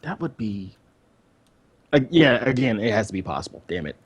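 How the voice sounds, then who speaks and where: A man co-hosting a gaming podcast in casual, unscripted chat, with somewhat halting phrasing. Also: lowish voice, big pitch swings, husky, dull audio. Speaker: podcast co-host. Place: indoors, online voice call.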